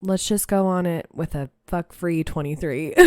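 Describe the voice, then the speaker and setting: A woman's voice: lowish voice, expressive, unhurried, no room sound, clean studio sound. A young woman hosting a podcast, chatting casually and reading aloud, slightly nasal, laughing easily. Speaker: podcast host.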